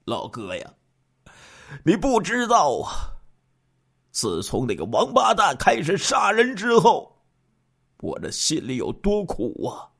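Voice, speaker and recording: A man voicing a burdened, emotional male police chief in a story, with emphatic, drawn-out delivery. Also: on the low side, very animated, pitched below the performer's own voice, exaggerated voice. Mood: anguished, tearful.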